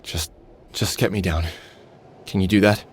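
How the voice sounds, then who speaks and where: Deep, weary, brooding game hero. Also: male voice, steady tone, unhurried, a little hiss or hum. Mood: defeated. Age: middle-aged. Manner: brooding, weary, muttering to himself. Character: weary middle-aged man with a cybernetic arm, grieving and sardonic. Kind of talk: video game voice acting, brooding inner monologue and dialogue.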